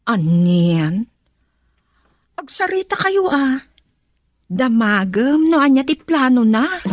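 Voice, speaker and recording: A woman's voice: high voice, sing-song, slow pace, full character voice. A woman playing a concerned cousin and confidante in an Ilocano radio drama, asking questions expressively. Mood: probing.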